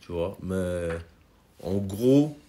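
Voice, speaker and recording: A man's voice: low-pitched, expressive, drawn-out words, dark tone. Chill congolese-born guy talking rap, loose and slangy, keeps going "you see". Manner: laid-back, slangy, keeps saying "tu vois". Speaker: rapper guest. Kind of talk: casual interview chat.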